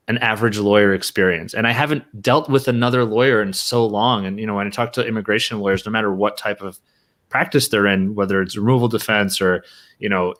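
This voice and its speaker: A man hosts a podcast interview in a fairly low voice. He talks in a loose, conversational way, hedging and filling gaps with "like" and "I mean.". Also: a bit bright. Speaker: podcast host.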